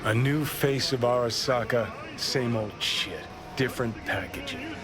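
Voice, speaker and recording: A man playing a cynical game rockstar with a sardonic drawl. He is bitter, mocking and rebellious, speaking at a middle pitch over prominent music. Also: a little slow, natural voice. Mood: bitter, preaching. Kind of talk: cinematic game acting.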